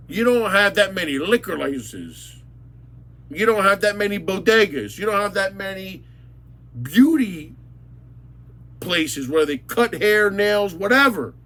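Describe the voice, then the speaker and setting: A man's voice: high-pitched, fairly bright tone. A man ranting angrily about local matters, blunt and insulting, repeating words for emphasis. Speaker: local commentator. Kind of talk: angry ranting monologue.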